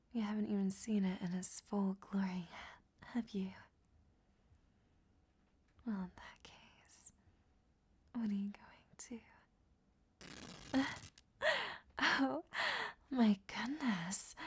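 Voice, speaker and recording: Casting: actress as a seductive captive vampire woman for whispered ASMR roleplay, soft dark tone, unhurried, teasing delivery. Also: mid-range voice, exaggerated voice. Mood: teasing, eager. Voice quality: breathy whisper. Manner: slow, sultry, teasing. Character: flirtatious, teasing, captured female vampire.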